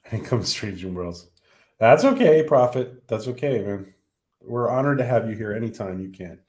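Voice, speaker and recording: A man hosting a casual livestream who is losing his voice. He talks haltingly, with many ums and restarts. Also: on the low side, animated, darkish tone. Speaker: livestream host.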